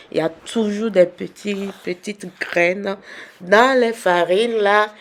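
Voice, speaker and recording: Hoarse young woman, unhurried and gravelly, teasing viewers while she cooks. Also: medium pitch. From Benin. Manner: chatty, teasing, addresses viewers directly. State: hoarse from a cold. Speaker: cooking vlogger. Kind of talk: casual cooking demonstration.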